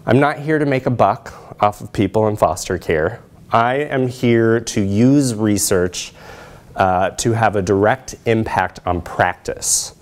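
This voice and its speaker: A man's voice: lowish voice, darkish tone. A man in his thirties giving an academic research presentation in a conversational way, checking in with his audience. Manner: conversational, checks in with audience using short tag questions. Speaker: social work researcher and presenter. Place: lecture room, standing by projection screen.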